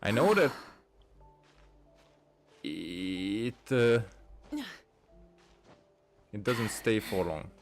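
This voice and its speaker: Guy rambling over his gameplay, kinda low voice, slow and halting, game music behind. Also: lively intonation. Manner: halting, rambling, thinking aloud. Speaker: gaming streamer. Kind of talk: casual gameplay commentary. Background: video game music.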